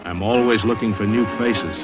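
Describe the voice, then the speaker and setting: An actor playing a restless, smooth-talking male prowler and thief in a 1940s-style radio drama. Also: fairly low pitch, normal speed, lightly acted. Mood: insistent, smooth. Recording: muffled vintage radio recording, music behind.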